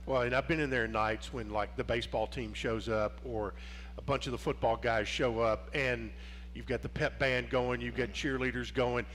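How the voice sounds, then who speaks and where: A man's voice: mid-range voice, steady tone, moderate pace, fairly bright tone, slight background noise. A man hosting a casual sports interview, rambling with many restarts and fillers. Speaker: sports show host.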